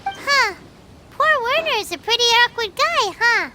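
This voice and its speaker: A woman voicing a small, excitable, childlike fairy companion in a video game, bossy and cheeky with a squeaky voice. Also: a little slow. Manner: exclamatory, speaks of self in third person. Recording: loud music behind voice.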